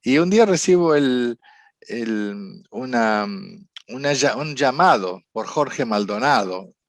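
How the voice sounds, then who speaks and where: A man with an Argentine accent, a psychotherapist telling his story in an interview, reflective and halting, often restarting his sentences. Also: medium pitch, lively intonation, no echo. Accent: Argentine Spanish. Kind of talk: conversational storytelling.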